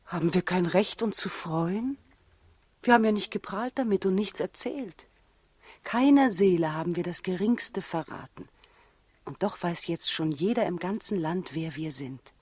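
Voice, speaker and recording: An actress in a 1960s radio play as a gentle, proud, innocent young mother, speaking in a hushed, earnest, intimate way with a breathy voice. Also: on the low side, lively intonation, moderate pace, dry recording.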